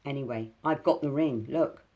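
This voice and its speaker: A woman voicing a small, bold male pixie who talks fiercely and loudly in a storybook reading. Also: a bass voice, moderate pace, low-quality recording. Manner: fiery, indignant, boastful.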